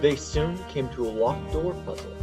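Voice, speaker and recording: A man's voice: medium pitch, moderate pace, lightly acted. A man narrating a parody game story in a dramatic, scripted storytelling style. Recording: loud music under the voice.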